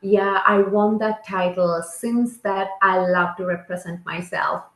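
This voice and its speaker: Slow-speaking woman from Sri Lanka, echoey call. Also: medium pitch, fairly even intonation, a bit bright. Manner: slow, searching, with non-native grammar. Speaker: guest. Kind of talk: casual interview answers.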